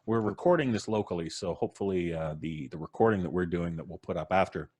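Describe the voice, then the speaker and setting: A man's voice: deep voice, fast, darkish tone, breathy edge, no room sound. A man hosting a live show, chatting casually and genially with his audience and colleagues. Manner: genial, appreciative.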